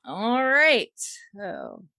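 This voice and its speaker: A woman's voice: medium pitch, huge pitch swings, drawn-out words, vocal fry, sharp tone, no echo. A woman hosting a podcast, reading out fandom news in a casual, wry and playful way with chuckles. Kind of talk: casual chat.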